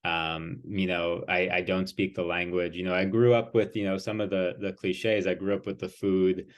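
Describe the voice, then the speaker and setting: Male author guest, low voice, thoughtful, hesitant, lots of ums. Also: flat delivery, normal speed, highs cut off. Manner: thoughtful, hesitant, many fillers. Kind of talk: interview conversation.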